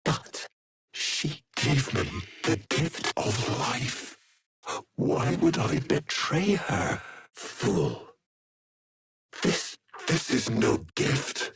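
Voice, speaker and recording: An actor playing an imperious, bitter, tormented aristocratic game villain, theatrical and breathy, lingering on his words. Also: mid-range voice, sing-song, extremely slow, a bit bright, soft background music, full character voice. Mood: bitter, brooding. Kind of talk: dramatic video game villain acting.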